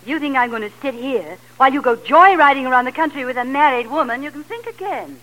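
A woman's voice: sits high, lively intonation, fast talker, sharp tone. An actress playing a poised, possessive wife in a 1940s radio comedy, coy and dry in her teasing. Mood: dry, indignant. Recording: noisy vintage radio recording.

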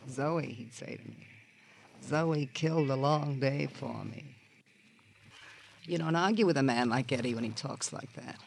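An actor playing a jilted, sardonic auction-shop worker in an American radio crime drama, with a theatrical, world-weary drawl and an American accent. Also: male voice, medium pitch, measured pace, creaky, pauses often. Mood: bitter, wistful. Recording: noisy old radio drama recording.

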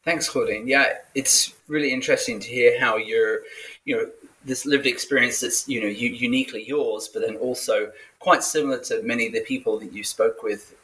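A man's voice: medium pitch, steady tone, normal speed, pushed and bright, low-quality recording. A man hosting a book interview podcast, introducing his guest politely with frequent uhs. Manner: polite, hesitant with fillers. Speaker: podcast host.

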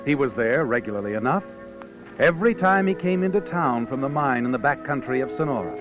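A man's voice: mid-range voice, expressive, muffled recording, close to an everyday voice. Ominous night-walking storyteller played by a man, vintage radio mystery, very varied delivery over music. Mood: knowing. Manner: theatrical, brooding, dramatic pauses. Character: ominous mysterious all-knowing male narrator who walks by night. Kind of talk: 1940s radio mystery narration.

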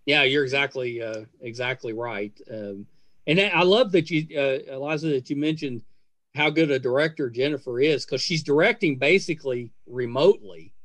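A man's voice: mid-range voice, moderate pace, a bit bright, dry recording. A middle-aged man hosting a podcast in a casual conversational interview style, effusive and full of praise. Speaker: podcast host.

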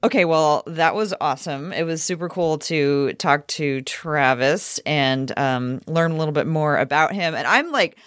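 A woman's voice: low voice, normal speed, few pauses, smooth, no echo, studio quality. A woman chatting casually as a podcast host. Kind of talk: casual chat.

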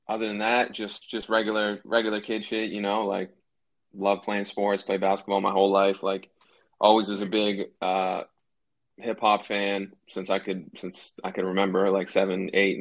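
A man's voice: on the low side, monotone, normal speed, creaky. A young man from New Hampshire, a rapper guesting on a podcast, talking in a laid-back way with lots of fillers. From New Hampshire, USA. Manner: laid-back, uses lots of fillers like "like" and "you know what I mean". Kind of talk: casual podcast interview.

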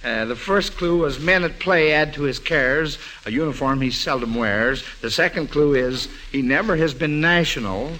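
A man hosts an old radio quiz show for kids in a bright, fairly high voice. He is encouraging and feels his way through phrases, with faint noise from the vintage broadcast behind him. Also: normal speed, few pauses, poor audio. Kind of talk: old-time radio quiz hosting, addressing children.

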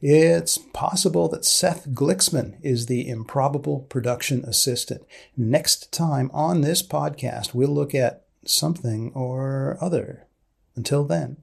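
A man hosting a research podcast in a conversational way, with deliberate, dry-humored phrasing. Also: medium pitch, gravelly, breathy edge, no room sound. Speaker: podcast host. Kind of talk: conversational interview about research.

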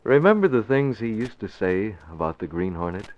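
Radio hero, 1940s: shrewd, composed crimefighting publisher, lowish voice, muffled vintage recording. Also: male voice, very animated, normal speed, soft background music. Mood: confident, wry. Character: calm, shrewd newspaper publisher, secretly a masked crimefighter. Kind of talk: 1940s radio drama acting.

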